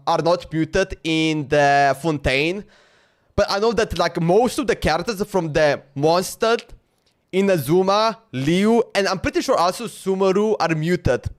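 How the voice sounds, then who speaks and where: A man in his twenties with a Slovenian accent, giving casual, rambling livestream commentary on a game. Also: high voice. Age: 20s. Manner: rambling, exclamatory.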